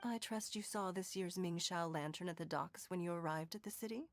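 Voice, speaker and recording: A woman's voice: fairly low pitch, slight background noise, close to an everyday voice. A woman playing an elegant, composed noblewoman leader in a video game, speaking in a formal, refined manner. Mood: gracious, composed. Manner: formal, refined, measured diction. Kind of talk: video game character acting.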